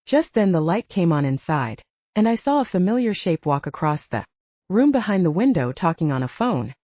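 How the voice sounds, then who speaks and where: Woman, audiobook narration, lively pitch movement, suspenseful, dry room, slightly dull recording. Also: normal speed, no room sound. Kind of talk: dramatic scripted narration.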